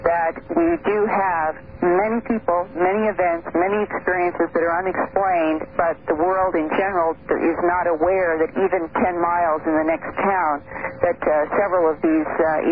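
A woman speaking as a radio interview guest, earnest and measured, pausing often with "uh". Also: fairly low pitch. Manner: earnest, measured, many "uh" pauses. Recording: tinny phone line with faint hiss.

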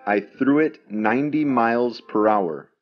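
Slow, deliberate male English teacher. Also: medium pitch, dull audio. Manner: reads each sentence twice, deliberately. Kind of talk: slow, repeated drill sentences for learners.

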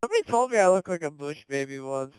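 A man's voice: a little high, very animated, fast talker, rough recording. Giggly teen boy on a headset. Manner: chatty, giggly, playful would-you-rather questions. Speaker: gamer in voice chat. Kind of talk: casual party chat while playing. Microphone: gaming headset.

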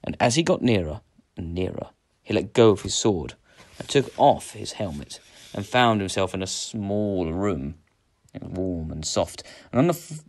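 Male storyteller, fairly deep voice, expressive narration for a children's tale. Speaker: story reader.

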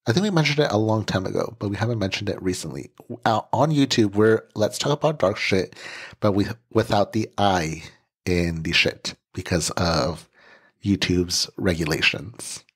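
A man hosting a podcast in casual chat, relaxed and full of fillers and quick laughs, speaking into a podcast microphone. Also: on the low side, husky. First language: English. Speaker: podcast host.

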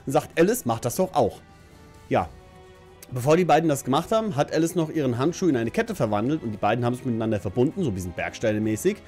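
A man's voice: fairly high pitch, darkish tone. A middle-aged man giving a casual, colloquial episode recap in a chatty, hesitant way, recorded at a desk microphone in a small home office. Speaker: host of an anime review channel. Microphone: desk condenser microphone on a stand.

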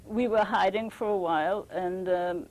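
An older woman from Belgium giving an oral history testimony, speaking haltingly with frequent restarts. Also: medium pitch, darkish tone, poor audio. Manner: hesitant, halting, many restarts.

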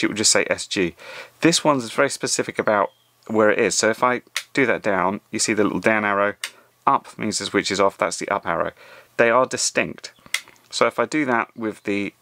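A man's voice: fairly low pitch, a bit bright, no echo. A man presenting a casual hands-on tutorial in a conversational, step-by-step way. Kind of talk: casual spoken tutorial over a hands-on demonstration.

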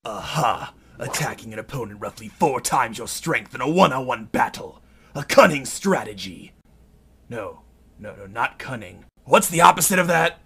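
A man voicing an arrogant, short-tempered villain in a fan parody cartoon dub, gravelly, haughty and theatrical. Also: medium pitch, animated, normal speed, pushed and bright. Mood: mocking.

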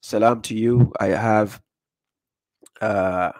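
Male streamer, 30s, lowish pitch, relaxed chat greeting viewers, voice slightly worn, close desk mic in a small dark room. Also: slow. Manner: reads viewer comments aloud, frequent "uh" and "right?". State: recovering from losing his voice. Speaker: livestream host. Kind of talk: casual livestream chat. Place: dark room with curtains, indoors. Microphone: desk condenser mic in front.

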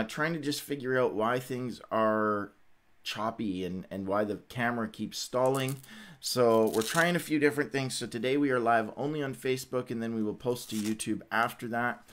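Middle-aged man, informal livestream host. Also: mid-range voice. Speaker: host of a Bible read-along livestream. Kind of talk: casual devotional livestream talk.

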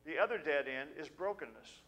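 A man's voice: on the high side, expressive, fairly bright tone. A middle-aged man pastor giving an earnest, conversational sermon and reading scripture at a pulpit mic in a small church. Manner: earnest, conversational, with halting restarts. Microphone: pulpit stand mic.

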